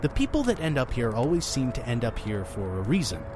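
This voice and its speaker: Mysterious inn's keeper, male, played by an actor: chatty, theatrical, highly animated delivery for audio drama. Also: a little fast, noisy background, close to an everyday voice. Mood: earnest, mysterious. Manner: effusive, talking over his guest.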